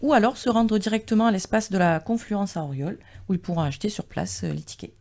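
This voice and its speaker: A middle-aged woman from a local venue, a radio guest giving calm, practical information. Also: mid-range voice, fast, no room sound. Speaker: venue programmer.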